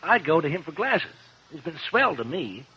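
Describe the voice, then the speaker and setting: An actor in a 1950s radio drama playing an earnest, loyal young man with an eager, halting, naive way of talking. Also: a little high, big pitch swings, pushed and bright, husky, full character voice. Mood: earnest, pleading. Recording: muffled vintage 1950s radio recording.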